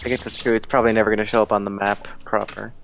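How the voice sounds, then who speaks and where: A man with a nasal, deadpan voice, chatting casually while watching a game stream. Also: on the low side, very fast, creaky, faint music behind. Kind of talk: casual gaming chat.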